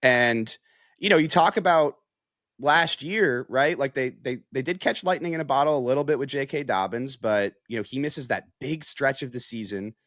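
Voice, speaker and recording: A man's voice: medium pitch, moderate pace, fairly bright tone, dry recording, clean studio sound. An American man in a casual sports analysis chat as a reporter, conversational and peppering his points with "like" and "you know". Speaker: sports reporter, podcast guest.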